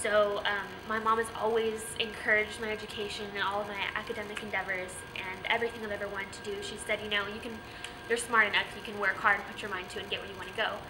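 A young college student talks candidly about her life, filling her sentences with "like." She sits in an indoor studio, and a clear noise runs under her mid-range voice. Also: medium pitch, level tone, fast. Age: young adult. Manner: candid, filler-heavy with frequent "like". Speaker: interviewee, college freshman. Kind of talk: casual personal story.

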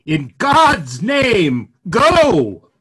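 A man performs a troubled clergyman in a period stage play. He is middle-aged, with a raspy voice and very slow, solemn delivery that rises and falls expressively. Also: high voice, huge pitch swings, a bit bright, heavily acted. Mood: distressed. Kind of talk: online play reading.

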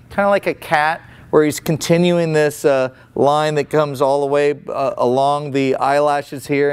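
A man's voice: fairly high pitch, clean tone. A man in his forties giving a casual, folksy face painting demonstration with many restarts, indoors in a small room.

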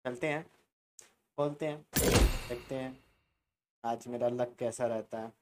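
A man's voice: mid-range voice, steady tone, unhurried, darkish tone, a little hiss or hum. A young man giving casual gaming commentary. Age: young adult. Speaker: gaming YouTuber. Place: small room indoors.

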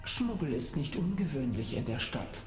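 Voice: mid-range voice, very animated, natural voice. Woman acting an echoing, imperious spymaster mistress. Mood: concerned, probing. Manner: measured, imperious. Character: commanding, watchful spider-folk spymaster mistress. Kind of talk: video game voice acting. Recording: echoing, music behind.

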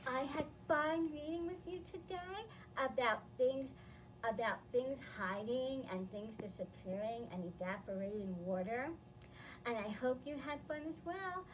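Slow-paced, higher-voiced American woman presenter. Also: slight background noise, rough recording. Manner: simple explanations addressed to children. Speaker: library storytime presenter. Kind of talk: children's read-aloud and simple instruction. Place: indoor library, seated among bookshelves. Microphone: camera several feet away.

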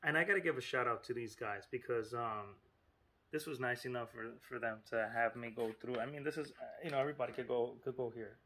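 Guy talking casually to his viewers, lots of "you know" and "look", normal middle pitch. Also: fast talker, pushed and bright. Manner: chatty and informal, lots of fillers and asides. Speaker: vlogger. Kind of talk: casual vlog narration.

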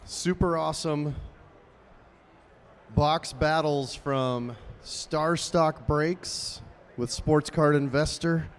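A man's voice: a little high, steady tone, very slow. A young man hosting a live stage at a big convention hall, talking to the crowd in an upbeat, chatty way on a handheld mic. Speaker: stage host.

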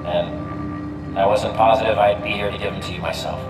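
A man playing a tender young best friend in a video game scene, speaking sincerely, gently and reflectively. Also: on the low side, fairly even intonation, moderate pace, lightly acted. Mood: heartfelt, wistful. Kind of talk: video game cinematic acting. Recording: echoey reverberant playback, music behind.

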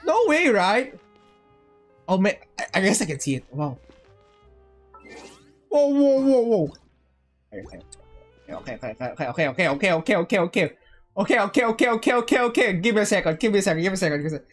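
A woman livestreamer chatting casually over a game in an excitable, joking way, often repeating phrases. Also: medium pitch, a little fast, pauses often. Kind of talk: casual game stream chat. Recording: game music behind.

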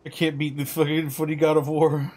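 A man's voice: fairly high pitch, level tone, a little fast, a little mellow. A man streaming a game with casual, exasperated and theatrical chatter, swearing freely. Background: game music.

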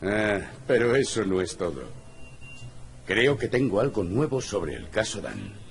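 Low, gravelly noir cat detective. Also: male voice, big pitch swings, unhurried, heavily acted. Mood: businesslike. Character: anthropomorphic cat private detective, world-weary and blunt. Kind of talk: video game voice acting, noir.

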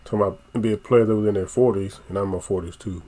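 Deep-voiced man in his forties, chatty. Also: very fast. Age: 40s. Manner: relaxed, chatty, talks back at the video. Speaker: reaction channel host. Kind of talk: casual reaction commentary. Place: small room at home. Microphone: headset.

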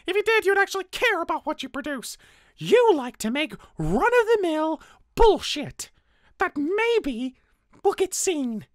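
A woman's voice: extremely high pitch, very animated, a little slow, no echo, studio quality, exaggerated voice. A woman voicing a bitter, defensive, world-weary character in a roleplay, with a casual, sardonic way of talking. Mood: accusing. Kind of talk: naturalistic roleplay voice acting.

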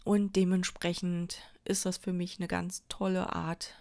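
Chatty woman with a gravelly edge. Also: lowish voice, level tone, unhurried, dark tone. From Berlin, Germany. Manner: chatty, rambling, many fillers and restarts. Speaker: crafting vlogger. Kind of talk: casual chat while crafting. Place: indoors at a table.

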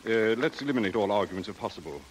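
A man's voice: lowish voice, expressive, very fast, slight background noise, close to an everyday voice, low-quality recording. An actor playing a stuffy, wealthy, snobbish man with a formal, pompous, hesitant manner, in 1930s radio style. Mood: haughty. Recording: 1930s radio recording with music.

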